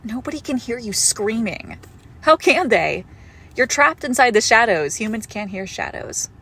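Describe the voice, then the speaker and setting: A woman playing a possessive monstrous girlfriend in an intimate horror roleplay, condescending and darkly affectionate, with deliberate, drawn-out phrasing. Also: fairly high pitch, big pitch swings, creaky, sharp tone, husky, heavily acted. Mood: soothing menace, then irritated. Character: possessive monstrous girlfriend with shadow powers, sweetly menacing. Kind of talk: intimate horror roleplay audio, slow and hushed.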